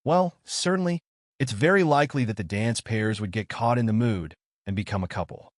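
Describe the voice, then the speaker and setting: A man voicing a confident, encouraging schoolgirl friend with a brisk, reassuring manner in a manga story. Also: fairly low pitch, no room sound, clean studio sound, lightly acted. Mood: chatty, informative.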